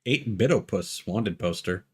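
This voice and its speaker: A man's voice: lowish voice, expressive, measured pace, husky. A man streaming a video game with casual live commentary, musing aloud as he goes. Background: music behind the voice.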